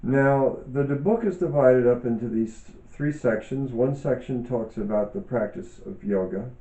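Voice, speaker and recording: A man reading reflective self-help teaching aloud in a deliberate, measured way, pausing often between short phrases. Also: mid-range voice, dark tone. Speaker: author and yoga teacher reading from own book. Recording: dull, echoey room recording.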